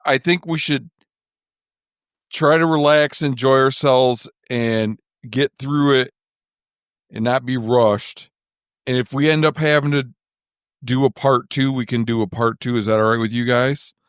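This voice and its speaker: Slow-talking man hosting a podcast. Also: medium pitch, fairly even intonation, clean tone, dry recording, studio quality. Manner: slow, thoughtful, self-deprecating humor. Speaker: podcast host. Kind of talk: casual podcast chat.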